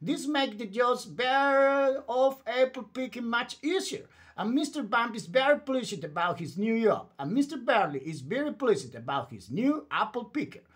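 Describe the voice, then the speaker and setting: A man's voice: very high-pitched, sing-song, moderate pace, hardly any pauses. A man reading a children's story aloud in a theatrical, emphatic bedtime read-aloud style.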